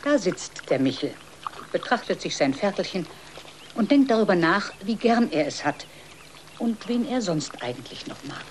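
A woman narrator telling a scripted children's story in a measured storytelling manner. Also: medium pitch, big pitch swings, slight background noise.

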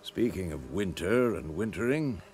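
Old grizzled monster hunter mentor, game dialogue, slow n gravelly, wry, swoops around. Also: male voice, low-pitched, expressive, darkish tone, slight background noise. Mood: grave, measured. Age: older. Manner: measured, emphatic, instructing. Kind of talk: video game dialogue acting.

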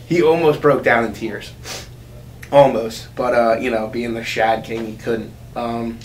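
A man's voice: mid-range voice, steady tone, a little slow. A man in his twenties telling casual, rambling fishing-buddy anecdotes with crude humor in a laid-back way. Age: 20s. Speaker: interviewee, recreational angler. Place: indoors against dark backdrop. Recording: echoey room, faint background noise.

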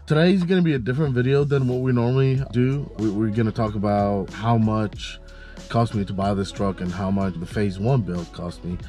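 A young man with a stuffy, nasal voice talks casually to camera from the driver's seat of a parked car. His voice is low and mellow, and he rambles along with plenty of fillers. Soft music plays faintly underneath. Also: a little fast. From Mississippi. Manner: relaxed, chatty, many fillers. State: congested, nasal. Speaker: car channel host. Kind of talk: casual vlog talk to camera. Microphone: camera on dashboard.

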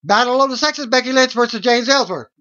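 A middle-aged man reading out a wrestling results recap as host, in a halting way, on a webcam mic at home. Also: extremely high pitch, sharp tone. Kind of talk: read-out play-by-play results recap. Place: small room at home, indoors. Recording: dull lo-fi webcam audio.

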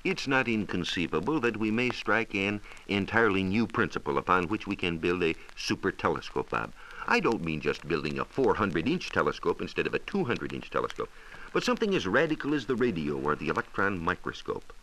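Deep-voiced American man, vintage radio science talk. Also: sing-song, a bit bright, rough recording. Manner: measured, explanatory, formal wording. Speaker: science reporter on a radio show. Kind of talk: 1940s radio science talk, part scripted answers. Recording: 1940s radio transcription disc.

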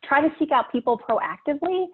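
A woman with a fairly high voice comes through a thin, phone-like call line. She gives practical advice in a steady, direct way. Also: fairly quick. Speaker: panelist. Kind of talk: panel discussion answer. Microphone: webinar video call. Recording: tinny phone-like call audio.

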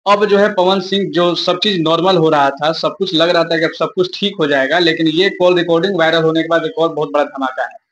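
Young guy doing informal news commentary, highish voice, kinda emphatic and repetitive. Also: steady tone, brisk, few pauses, fairly bright tone, slight background noise. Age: young adult.

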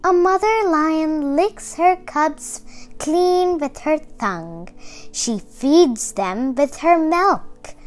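A young girl reading a picture book aloud for children with careful, expressive, emphatic phrasing. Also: high-pitched, drawn-out words. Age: child, about 8 to 11. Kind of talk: expressive read-aloud for kids.

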